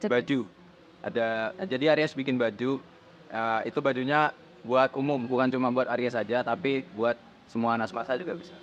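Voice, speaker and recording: A teenage male student answers as a podcast guest in a mid-pitched voice. His speech is plain and explanatory, laid out step by step. The audio is muffled, with faint noise behind him. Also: steady tone, brisk. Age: teens. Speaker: podcast guest, student supporters' club leader. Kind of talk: casual interview answers.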